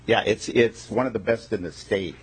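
A man's voice: lowish voice, moderate pace, slightly rough, low-quality recording. A male state legislator introducing himself at a committee meeting, folksy and emphatic with joking asides.

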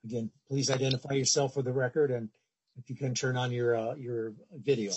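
A man moderates a committee meeting in a husky, medium-pitched voice. He is polite and a little hesitant as he handles procedure. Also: fairly even intonation, fairly quick, a little mellow. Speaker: committee chair.

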